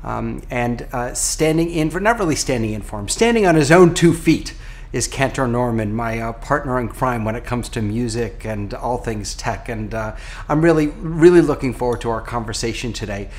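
Middle-aged American rabbi hosting over video call. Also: male voice, medium pitch, moderate pace. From United States. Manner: animated, gestures a lot, frequent restarts. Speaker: rabbi and podcast host. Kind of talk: casual conversational hosting. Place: home office with bookshelves.

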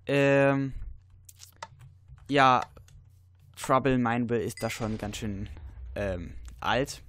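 A teenage boy with a blocked, stuffy nose from a cold talks through a video game at a middle pitch. He rambles loosely, fills his gaps with "uh", and now and then jumps into excited yelps. The sound is dry and close to the microphone, with no room around it. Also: no room sound. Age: teens. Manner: rambling, many fillers, sudden excited outbursts. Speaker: let's player. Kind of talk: casual gameplay commentary.

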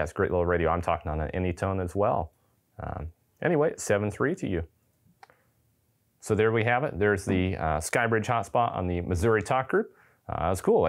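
A young man with a fairly low voice presents a radio demo. He talks casually, as if chatting to a fellow hobbyist, close on a lapel mic indoors. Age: 20s. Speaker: presenter demonstrating ham radio gear. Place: indoor studio with wood-panel backdrop.

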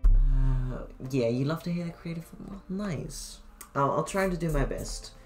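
A young woman with a Dutch accent streaming from her bedroom, thinking aloud in halting speech full of fillers as she works on a sewing pattern. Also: low voice, soft background music. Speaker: cosplay streamer. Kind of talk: casual livestream chat while pattern making. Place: small bedroom at home.

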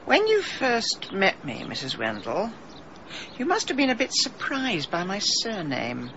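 A woman's voice: medium pitch, wildly swooping pitch, measured pace, a bit bright, highs cut off, a little hiss or hum, full character voice. An actress playing a dry, worldly middle-aged woman detective in a radio drama, rueful, knowing and pointed. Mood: pointed, probing. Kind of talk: British radio drama acting.

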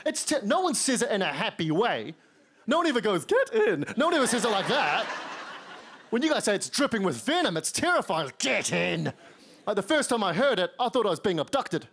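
High-voiced New Zealand comedian on stage. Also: male voice, fairly bright tone. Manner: wry, self-mocking, acts out quoted lines in lower voices. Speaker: stand-up comedian. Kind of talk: stand-up set. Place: large TV studio stage. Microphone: handheld mic. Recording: studio stage with audience noise. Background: studio audience laughter.

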